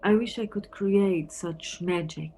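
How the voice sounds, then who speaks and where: An actress playing a wry, wistful married police detective from Antwerp in an audio play, musing conversationally. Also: female voice, mid-range voice, unhurried, dark tone, natural voice. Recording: noisy recording with background ambience.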